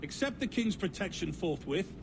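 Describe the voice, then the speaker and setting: An actor playing a haughty, threatening navy commodore who sneers with contempt in a game scene. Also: male voice, high-pitched, big pitch swings, normal speed, gravelly, background noise, full character voice. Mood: contemptuous, threatening. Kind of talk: dramatic game cutscene acting.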